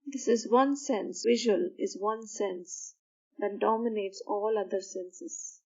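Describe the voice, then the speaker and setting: A woman guiding a sleep meditation in a soothing, measured manner, pausing between short phrases. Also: medium pitch, fairly even intonation, lo-fi sound. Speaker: meditation guide. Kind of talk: guided meditation for sleep, slow instruction.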